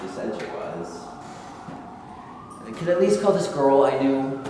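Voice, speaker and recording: In a very echoey hall with faint noise, a man plays a weary former ballplayer searching for who he is. His theatrical stage delivery swings widely in pitch. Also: sing-song, unhurried, dark tone. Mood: dejected, pleading. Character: weary drifting young ex-basketball player seeking his identity. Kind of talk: staged reading of a stage play.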